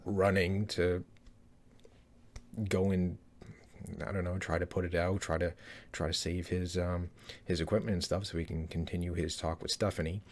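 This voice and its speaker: A man's voice: sits low, flat delivery, normal speed, pauses often. A man in his thirties giving casual, rambling commentary on a trailer from a small room, with lots of fillers and restarts. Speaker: YouTube trailer reviewer.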